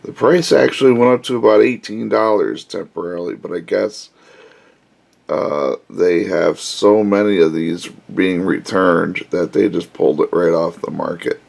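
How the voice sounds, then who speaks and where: A man with a scratchy voice giving a relaxed, casual hands-on review of a cheap car stereo, explaining each step with plenty of pauses and "uh"s. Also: deep voice, vocal fry. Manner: relaxed, unhurried explaining with frequent "uh"s. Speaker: car audio hobbyist reviewer.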